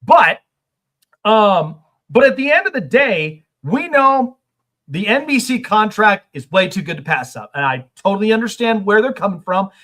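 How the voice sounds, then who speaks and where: Male sports talk host, high animated voice, rambling casual delivery. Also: moderate pace. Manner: rambling questions with many fillers.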